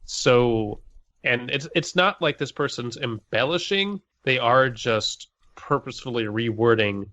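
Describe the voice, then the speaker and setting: A man co-hosting a podcast, reading stories aloud and chatting casually in a measured, explanatory way. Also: mid-range voice, animated, bright, forceful tone, lo-fi sound. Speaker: podcast co-host.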